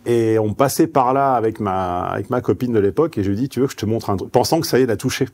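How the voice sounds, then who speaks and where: A man's voice: fairly low pitch, fast talker, darkish tone. A man who grew up in southeastern France giving a paid seminar, frank and salty, telling personal anecdotes. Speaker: seminar speaker. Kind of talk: seminar talk.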